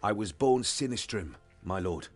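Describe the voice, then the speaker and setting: A reverent fantasy swordsman for a game. His voice is rough and husky, with a formal, solemn cadence and lots of melodic movement. Faint music plays underneath. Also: fairly low pitch, lively intonation, moderate pace. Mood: respectful. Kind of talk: fantasy game cutscene acting.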